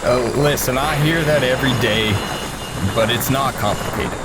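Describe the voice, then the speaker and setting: An actor playing a firm, by-the-book building inspector, with engine noise behind. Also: male voice, medium pitch, normal speed, lightly acted. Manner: firm, unbending.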